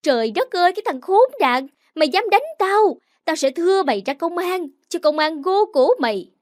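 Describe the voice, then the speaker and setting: A woman putting on a shrill, scolding old neighbor woman. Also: animated, moderate pace, no room sound, studio quality. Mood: screaming. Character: loud, greedy older female neighbor.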